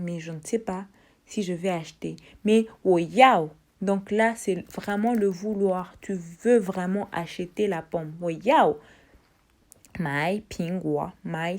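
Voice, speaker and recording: A woman teaching a casual language lesson, repeating words slowly and explaining each in turn. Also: a little mellow. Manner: drills tones in a high voice.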